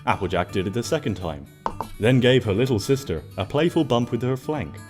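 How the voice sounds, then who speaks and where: A man narrating a scripted story expressively, in a gentle storybook manner. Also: medium pitch, animated, fairly quick, faint music behind. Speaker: fan fiction narrator.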